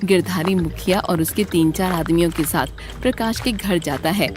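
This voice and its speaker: A woman's voice: on the low side, moderate pace, music in the background. A woman reading scripted story narration as a storyteller.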